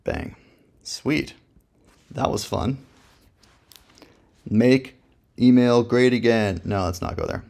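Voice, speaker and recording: A man with a gravelly voice, casually reviewing software while thinking aloud in a slangy, joking, laid-back way. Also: medium pitch, takes it slowly, long pauses.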